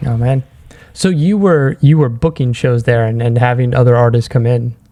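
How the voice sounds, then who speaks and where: A man with a mellow, relaxed tone and mid-range pitch. He works as a podcast host, chatting casually and easygoing as he moves from brief acknowledgements to questions. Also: moderate pace. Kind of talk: casual interview chat.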